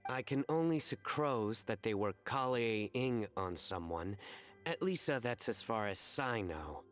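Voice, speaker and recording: A man playing a dry, serious young scholar in a video game, speaking in a measured, formal deadpan. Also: fairly low pitch, soft background music. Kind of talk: scripted video game dialogue.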